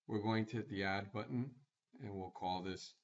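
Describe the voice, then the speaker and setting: A man's voice: lowish voice, steady tone, normal speed. Guy doing a plain step by step screen tutorial, kinda dull lo-fi mic. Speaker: software tutorial presenter. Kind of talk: screencast software walkthrough.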